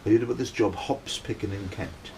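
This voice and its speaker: A man's voice: on the low side, fairly even intonation, a little fast, gravelly, noisy background. A middle-aged Welsh man telling a long personal story in a casual, rambling way, checking in with his listeners as he goes. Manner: rambling, keeps checking in with "right?". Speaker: band member telling a story.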